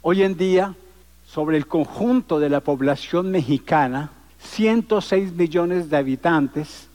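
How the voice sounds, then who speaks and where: A man's voice: on the high side, slow pace, a little mellow. A man in his sixties from Colombia, giving a public lecture in an emphatic, rhetorical way built on repetition and lists. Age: 60s. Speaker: conference speaker. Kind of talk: public lecture to a live audience.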